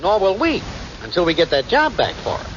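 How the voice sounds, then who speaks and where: A muffled, noisy vintage radio recording of a smooth-talking small-time operator. His pitch leaps around dramatically. He is slangy, self-assured and full of wisecracks in 1940s comedy style. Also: huge pitch swings, brisk. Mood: breezy, scheming.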